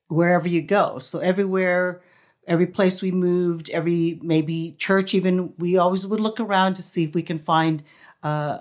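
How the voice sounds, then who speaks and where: Soft-spoken lower-voiced woman from the Philippines. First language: Visayan. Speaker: co-host, host's wife. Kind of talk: casual conversational interview.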